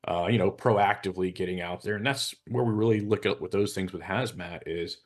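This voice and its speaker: American male, very low register. Hazmat specialist on a panel, informal but measured and matter-of-fact. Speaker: hazardous materials expert on a panel show. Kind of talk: informal explanatory discussion.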